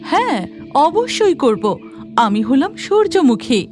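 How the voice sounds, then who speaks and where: A woman's voice: extremely high pitch, big pitch swings, measured pace, exaggerated voice. A woman voicing a kind, eager young fairy girl in a children's cartoon, with music behind her. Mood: eager, caring.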